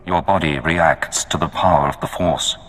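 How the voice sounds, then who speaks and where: An actor playing a cold, commanding Sith dark lord in a video game, speaking in a slow, ominous, imperious manner. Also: male voice, rumbling low, fairly even intonation.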